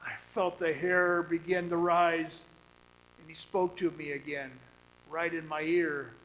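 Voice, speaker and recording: An actor playing an anxious, halting miner trapped underground in a suspense radio drama. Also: male voice, a little high, little pitch movement, fairly bright tone, slight background noise, poor audio. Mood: haunted, brooding. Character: frightened trapped miner, jittery, recounting his ordeal. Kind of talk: first-person suspense radio drama acting. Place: performing arts center stage.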